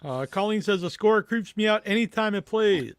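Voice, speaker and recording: A man hosting a casual interview podcast, informal and halting as he puts questions to a guest. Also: high voice, lively intonation, clean tone, no room sound. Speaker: podcast host.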